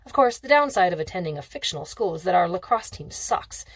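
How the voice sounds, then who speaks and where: A woman's voice: on the low side, animated, quick pace, breathy edge, dry recording. A woman reading the part of a smug, teasing sixteen-year-old rich boy in a storybook, breezy and self-assured.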